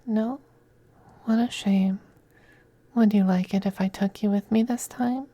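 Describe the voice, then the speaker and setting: A man playing a possessive, teasing femboy vampire lover in an ASMR roleplay, speaking in soft, languid, condescending half-whispers. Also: sits high, steady tone, a little slow, no room sound.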